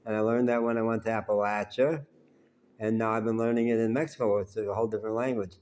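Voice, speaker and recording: A man with a lowish voice telling a long personal story at an easy, unhurried pace. He rambles conversationally and drops in plenty of pauses and "uh"s. His voice is naturally loud. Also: fairly even intonation. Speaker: vlogger telling life stories.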